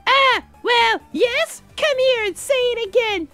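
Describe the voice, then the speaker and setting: A woman voicing a cutesy, melodramatic anime schoolgirl with drawn-out delivery in a visual novel dub. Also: extremely high pitch, pushed and bright, soft background music, full character voice. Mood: indignant. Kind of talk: improvised visual novel dubbing.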